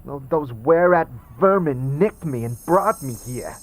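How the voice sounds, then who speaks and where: An actor playing a frantic, stammering skull sidekick, pleading and slangy, with a husky voice in a fantasy audio drama. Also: male voice, a little high, lively intonation, a little slow. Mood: panicked, pleading. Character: panicky, pleading wisecracking floating skull sidekick.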